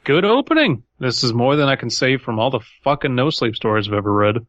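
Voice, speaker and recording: A man's voice: mid-range voice, expressive, a little fast, fairly bright tone, dry recording, dull audio. Male podcast co-host, profane and blunt, casual talk and reading, close dry sound with dulled top end. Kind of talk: casual banter and story reading.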